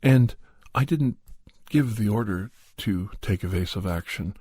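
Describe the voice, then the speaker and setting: A man narrating a first-person horror story in an ASMR style, hushed and deliberate, often pausing mid-phrase. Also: on the low side, breathy edge, no room sound. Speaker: podcast host and storyteller.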